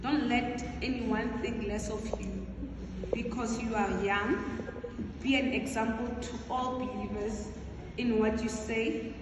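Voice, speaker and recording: A woman reading scripture aloud slowly and deliberately to a church gathering. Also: a little high, fairly even intonation. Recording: distant mic in echoey noisy hall.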